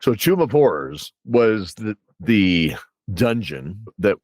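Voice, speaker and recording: A man's voice: fairly low pitch, very animated, slow, darkish tone, dry recording. A man hosting an interview in a casual, jokey way, chuckling as he talks like a game master among friends. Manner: jokey, chuckles while talking. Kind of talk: casual bantering interview chat.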